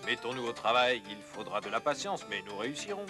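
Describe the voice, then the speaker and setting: A male actor playing a determined senior research doctor in a dubbed educational cartoon, measured and authoritative. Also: medium pitch, sing-song, over music, natural voice. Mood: resolute.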